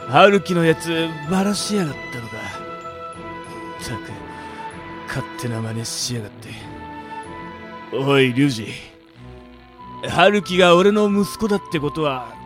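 A man acting a gruff, middle-aged yakuza boss with rough, weary, proud speech in a radio drama. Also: fairly high pitch, expressive, slow, long pauses. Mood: stern, solemn. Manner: rough, slangy, commanding underworld speech. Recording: dramatic music behind the voice.

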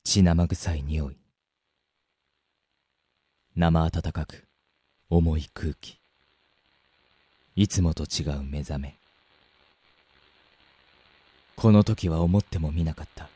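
A man's voice: rumbling low, slow, long pauses, warm tone, breathy edge, full character voice. A man playing a timid, confused young captive in a radio drama, polite and hesitant. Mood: grim, hushed.

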